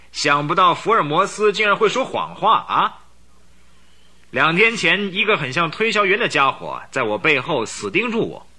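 An actor playing an arrogant young count who secretly runs a gang, sneering and thuggish in a radio drama, with a rough edge to his voice. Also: on the high side, bright, forceful tone, exaggerated voice. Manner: sneering, scornful, thuggish speech. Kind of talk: Chinese radio drama acting.

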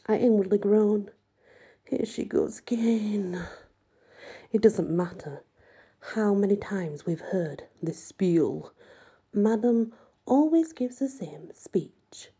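A woman's voice: medium pitch, lively intonation, takes it slowly, long pauses, dark tone, slightly rough. A woman reading a first-person audiobook story in a sardonic manner. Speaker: audiobook reader.

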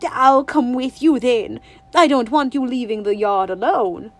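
A woman voicing a stern, fussy old grandmother who speaks primly and slowly in an audiobook. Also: on the high side. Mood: grudging. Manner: prim, scolding, drawn-out words. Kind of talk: audiobook reading.

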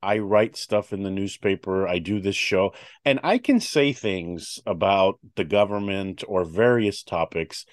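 A man's voice: sits low, a little slow, few pauses, dry recording, clean studio sound. A man hosting a public affairs talk show, chatty with many fillers and restarts. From United States. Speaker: host.

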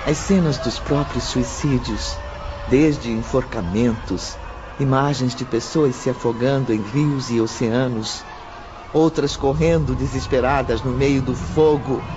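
An actor playing a solemn, knowing narrator in a radio drama, with formal, measured diction. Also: male voice, medium pitch, background noise, lightly acted. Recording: muffled radio drama with loud music.

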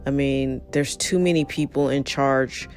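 A hoarse voice, worn down and close to giving out, rants in a blunt and exasperated way. The talk is an unscripted monologue addressed straight to the listener. Soft music runs quietly underneath. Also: mid-range voice, fairly even intonation, measured pace. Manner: frustrated, blunt, ranting. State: hoarse, losing voice. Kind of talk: casual spoken monologue.

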